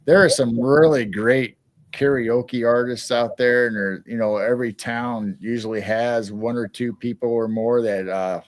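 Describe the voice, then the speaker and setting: A man's voice: medium pitch, steady tone, a little slow. Rambling man hosting a live chat. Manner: rambling, many restarts. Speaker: co-host. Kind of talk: casual live-stream chat.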